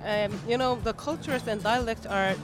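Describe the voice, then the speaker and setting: A woman's voice: fairly high pitch, over music. A young woman with a Korean accent, speaking carefully with many hesitations as an interview guest at a busy book fair. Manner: careful, measured speech with frequent hesitations.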